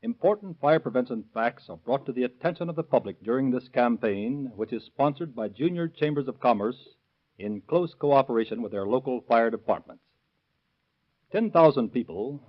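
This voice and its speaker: A man giving a formal scripted address as a guest official, reading stiffly. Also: mid-range voice. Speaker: fire department captain, guest speaker. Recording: muffled vintage 1930s radio recording.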